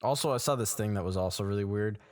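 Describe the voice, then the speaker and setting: A man's voice: fairly low pitch, fast, no room sound. Young man chatting animatedly, dry sound. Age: 20s. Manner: animated storytelling, acts out quoted reactions. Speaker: podcast co-host. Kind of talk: casual chat. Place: small room.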